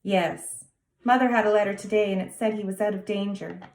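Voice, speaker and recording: A woman's voice: medium pitch, steady tone, brisk, a little mellow, roomy sound, lightly acted. A woman voicing a serious, earnest small boy of nearly ten in a storybook reading. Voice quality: grave, emphatic childlike delivery.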